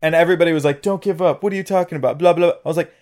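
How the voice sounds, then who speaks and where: A man's voice: fairly high pitch, racing, a little mellow. A young man co-hosting a casual podcast, telling jokey stories and acting out quotes. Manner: jokey, acts out quotes, crude humor. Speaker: podcast co-host. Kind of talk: casual chat. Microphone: podcast mic with audio levels.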